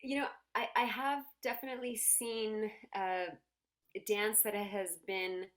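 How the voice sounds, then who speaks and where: A woman's voice: mid-range voice, level tone, unhurried, fairly bright tone. A woman dancer answering interview questions in an upbeat way, laughing readily. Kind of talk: casual interview chat.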